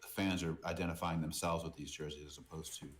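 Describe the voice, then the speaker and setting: Deep-voiced middle-aged American man, halting. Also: little pitch movement, a little hiss or hum. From Boston, United States. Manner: halting, repeats words, often says "you know". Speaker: podcast guest, merchandise business executive. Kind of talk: casual interview talk.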